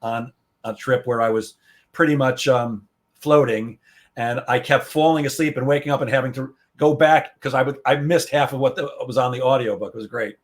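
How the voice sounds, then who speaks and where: Male guest, middle pitch, laid-back and halting. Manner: relaxed, hesitant, many fillers. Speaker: guest, comedian and sales coach. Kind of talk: casual interview chat.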